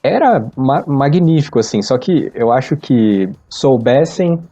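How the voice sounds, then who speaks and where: A man in his forties talks with a Brazilian Portuguese accent in a mid-pitched, gently mellow voice. He chats casually, telling anecdotes on a podcast, and the microphone sound is a little dull. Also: animated, unhurried, soft background music. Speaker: podcast participant. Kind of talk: casual conversational storytelling.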